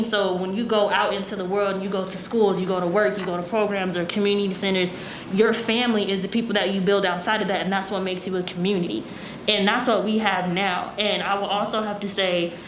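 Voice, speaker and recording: Young woman talking in an echoey room. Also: medium pitch. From United States. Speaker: town hall panelist. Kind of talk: conversational panel discussion. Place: echoey room at a town hall forum. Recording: echoey room, faint noise.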